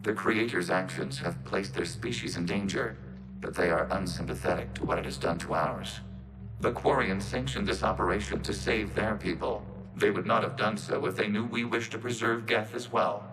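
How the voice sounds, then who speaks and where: An actor playing a calm, logical robot with a collective mind, speaking formally through synthetic processing in a video game cutscene. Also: male voice, on the low side, over music, poor audio. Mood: measured, resolute. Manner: formal, measured, detached, speaks of itself as "we".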